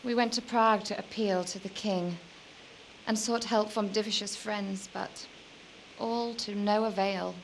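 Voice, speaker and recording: Sad medieval lady for a game, creaky voice, measured and wistful, bit of hiss. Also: medium pitch, fairly even intonation, low-quality recording. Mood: sorrowful. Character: weary, lonely medieval noblewoman, still young, gently bitter. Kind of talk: dramatic video game dialogue.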